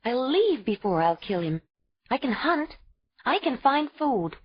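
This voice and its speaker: A woman's voice: sits high, sing-song, a little slow, slightly rough, voice pushed up, exaggerated voice. A woman voicing a fierce young cave woman, a new mother pleading tearfully and refusing to give up her baby. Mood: fierce defiance. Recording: dull lo-fi audio.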